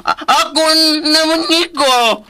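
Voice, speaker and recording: High, halting, childlike simpleton. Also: male voice, pushed and bright, full character voice. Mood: simple, hesitant. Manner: slow, halting, stammering speech. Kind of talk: radio drama acting.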